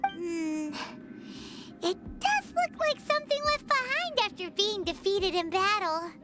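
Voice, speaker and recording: A tiny chatty creature sidekick pipes up in a very high, squeaky voice that leaps and dips all over the place. She takes her time over each word, with a pleading softness. Game music plays loudly behind her. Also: very animated, unhurried, full character voice. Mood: musing. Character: small floating female companion creature, chatty.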